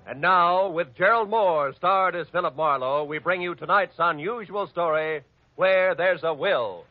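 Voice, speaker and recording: A man's voice: high voice, measured pace. A man announcing a 1940s radio drama in a stately, declamatory way. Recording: muffled old radio broadcast. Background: loud orchestral music with timpani.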